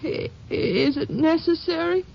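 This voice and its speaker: An actress playing a grieving, hesitant sheriff's widow, subdued and halting, in 1950s radio drama style. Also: female voice, sits high, expressive, vocal fry, a little hiss or hum, heavily acted. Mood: hesitant, pleading. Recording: dull old radio recording.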